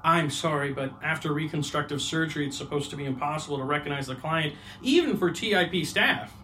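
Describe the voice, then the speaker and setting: A man's voice: a little high, a little fast, sharp tone, slight background noise. A man acting a slippery, easily flustered salesman in a comedic audio drama, glib and evasive, hesitating and then turning pushy. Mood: evasive, sheepish. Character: slippery, flustered male salesman of fake disappearances.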